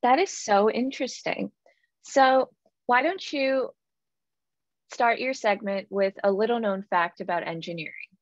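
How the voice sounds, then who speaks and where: A woman reads formal panelist introductions as a webinar moderator, her voice at a middle pitch. The audio sounds dry and slightly muffled, with no room around it. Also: unhurried, no echo. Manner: polished, scripted and formal.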